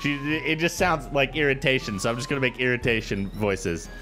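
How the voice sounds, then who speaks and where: A man's voice: medium pitch, fairly even intonation, brisk, sharp tone. A young man hosting a gaming video, rambling informally and reading aloud as he gives casual let's play commentary. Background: game music.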